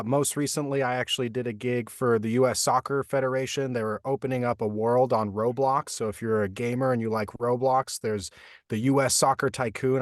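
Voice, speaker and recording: A man's voice: medium pitch, no room sound. A man with an ordinary mid-range voice talks as an interview guest. He is friendly, with a few uh fillers, and the sound is dry and close. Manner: friendly, a little hesitant, with uh fillers. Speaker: voice actor guest. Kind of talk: casual interview talk.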